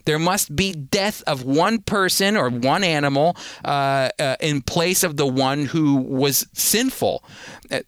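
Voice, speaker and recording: A man's voice: a little high, fairly bright tone, no echo. A man teaching the Bible in an earnest, emphatic way, often repeating a word as he starts a point.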